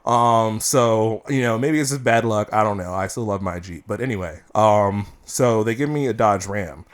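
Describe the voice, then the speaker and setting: A man's voice: fairly low pitch, normal speed. A man co-hosting a podcast, telling stories in a casual, playful and profane way. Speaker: podcast co-host. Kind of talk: casual chat.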